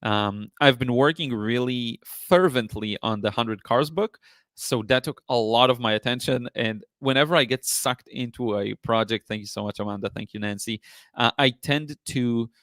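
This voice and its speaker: A man hosting a casual live stream as an art teacher, chatting in a rambling, appreciative way, his voice slightly hoarse from talking. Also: mid-range voice, moderate pace, a bit bright, dry recording. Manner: rambling, appreciative, often thanking viewers. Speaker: live stream host, watercolor painting teacher.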